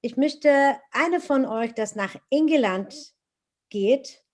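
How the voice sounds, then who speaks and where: Earnest woman, slightly high voice. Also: takes it slowly. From Iraq. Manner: earnest, animated retelling with many hesitations. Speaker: interviewee. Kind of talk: personal storytelling interview.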